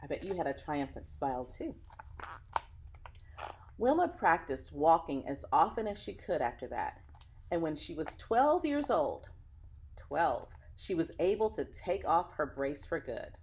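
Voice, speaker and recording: A woman with a lowish voice reads a picture book to children. Her intonation swings widely and her phrasing is dramatic. She addresses young listeners directly, in the way of a teacher at story time. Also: big pitch swings, measured pace, darkish tone, poor audio. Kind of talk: children's read-aloud storytelling with asides to listeners.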